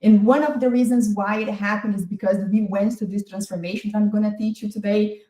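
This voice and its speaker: A woman in her forties from Brazil with a Brazilian accent, presenting an online masterclass in an energetic, talkative way. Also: medium pitch. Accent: Brazilian Portuguese. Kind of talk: webinar presentation. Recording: echoey room.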